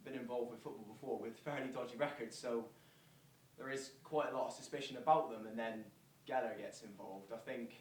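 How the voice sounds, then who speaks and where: A man's voice: mid-range voice, fairly even intonation, brisk, lightly acted. A man playing a wary but hopeful football fan in a stage monologue, torn between head and heart. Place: theatre performance space, recorded from far off. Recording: distant, echoey, noisy stage recording.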